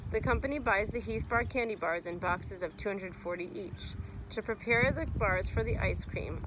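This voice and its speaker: A woman's voice: mid-range voice, background noise. A woman reading a children's nonfiction book aloud as a teacher would, explaining and speaking to young listeners.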